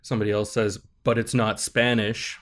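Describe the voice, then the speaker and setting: A man, a professor on a podcast as a guest, explaining ideas in a measured, thoughtful way and checking in with "right?" as he goes. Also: fairly low pitch, level tone, a bit bright. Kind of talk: explanatory interview talk.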